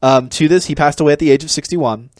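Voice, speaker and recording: A man's voice: fairly high pitch, quick pace, a little mellow, dry recording. A man talking casually and bluntly as an opinionated podcast co-host, giving entertainment commentary on a close microphone.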